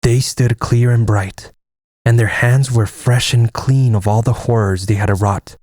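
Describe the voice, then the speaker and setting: A man playing a stoic, fatalistic Navajo army air force lieutenant in an audio comic, solemn and measured. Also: mid-range voice, steady tone, a little mellow, no echo, studio quality, natural voice. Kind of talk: dramatic first-person narration and dialogue in an audio comic.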